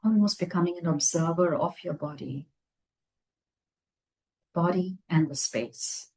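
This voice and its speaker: A woman leading a guided meditation, speaking gently in unhurried phrases with long pauses. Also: on the low side, husky. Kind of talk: guided meditation, slow soothing instruction.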